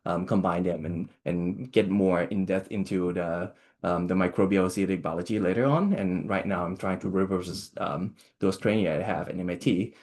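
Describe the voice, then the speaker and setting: A young man from Thailand, a postdoctoral researcher, giving a seminar talk in a halting way with many fillers and restarts. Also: deep voice, darkish tone.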